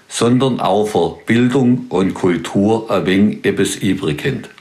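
A middle-aged man with a thick Swabian accent reads his own humorous column aloud on a dialect radio show. His voice sits a little low and he takes his time, letting each line land with wry, easygoing humor. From Swabia, Germany.

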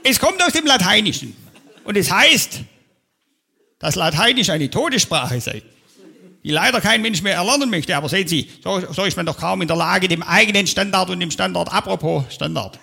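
A man playing a pompous speechmaker, bright sharp tone, creaky. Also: high voice, animated, moderate pace, exaggerated voice. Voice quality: pompous, convoluted, self-important. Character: pompous long-winded male speaker, self-important. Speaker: comedian on stage. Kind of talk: parody of a pompous official speech. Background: audience laughter.

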